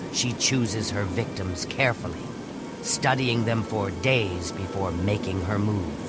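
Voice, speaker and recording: A man reading scripted dramatic fantasy game narration in a measured, ominous storytelling manner. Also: lowish voice.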